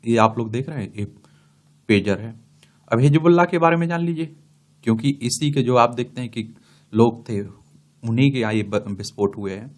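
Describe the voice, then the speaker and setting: A man's voice: mid-range voice, normal speed, long pauses. A man giving an explanatory lecture, reading from study notes and addressing students as he repeats key terms. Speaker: teacher for exam students.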